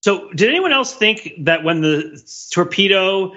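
A man's voice: high-pitched, expressive, measured pace, sharp tone. A man hosting a podcast in casual chat, recorded on slightly dull, lo-fi audio. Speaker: podcast host.

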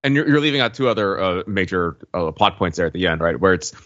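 A man's voice: low voice, lively intonation, fast, a bit bright, dry recording, muffled recording. A man hosting a podcast, speaking casually in a back-and-forth discussion of a TV show. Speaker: podcast host.

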